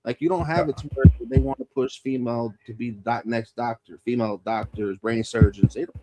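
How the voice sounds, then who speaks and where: A man's voice: medium pitch, steady tone, brisk, rough recording. A man in his thirties hosting a podcast, talking loosely and profanely and laughing often. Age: 30s. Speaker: podcast host. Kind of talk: casual podcast chat.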